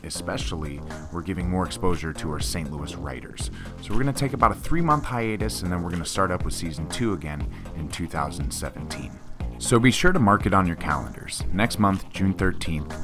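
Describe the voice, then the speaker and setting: Deep-voiced man hosting over music. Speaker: host and director. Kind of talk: podcast outro with credits and thanks.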